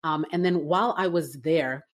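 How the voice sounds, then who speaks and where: A woman from Canada in her forties, a business-owner guest telling her story in a relaxed interview, with lots of fillers and restarts. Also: sits low, normal speed. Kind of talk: casual interview conversation.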